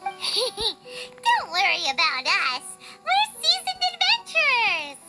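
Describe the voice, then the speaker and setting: A woman voicing a tiny, cheeky childlike fairy companion in a video game, squeaky and chirpy, with music underneath. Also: wildly swooping pitch, slow, full character voice. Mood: cheerful, confident. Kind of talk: English video game voice acting.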